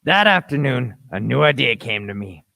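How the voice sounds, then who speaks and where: A man's voice: mid-range voice, big pitch swings, normal speed, gravelly, bright, forceful tone, no echo, heavily acted. A man narrating an adventure radio play in scripted, first-person storytelling.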